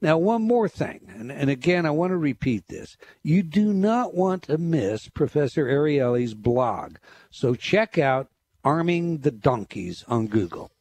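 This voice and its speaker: Man, radio host, promotional tone, hesitant, slightly high voice. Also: very animated, measured pace, darkish tone, husky, no room sound. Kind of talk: talk radio hosting.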